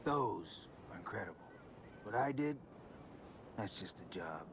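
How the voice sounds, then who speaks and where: An actor playing a macho, wisecracking, hard-bitten commando with terse deadpan one-liners in a tongue-in-cheek action game. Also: male voice, deep voice, unhurried, heavy background noise. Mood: deadpan cool. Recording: loud game music over voice.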